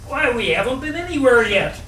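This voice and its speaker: A man's voice: very high voice, very animated, fairly quick, bright, forceful tone, pitched above the performer's own voice, exaggerated voice. A man voicing a goading, argumentative male frog in a storybook, reasoning in an emphatic, insistent way. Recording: roomy, distant mic, faint noise.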